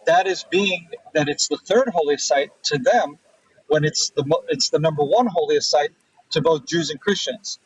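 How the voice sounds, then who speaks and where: A husky, slightly high-voiced man from Israel speaks as an interview guest, pausing to find his words and restarting his sentences. He sounds set back from the microphone on a dull, lo-fi remote line. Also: no room sound. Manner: thoughtful, pauses with fillers and restarts. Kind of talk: conversational interview answers. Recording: distant, dull remote audio.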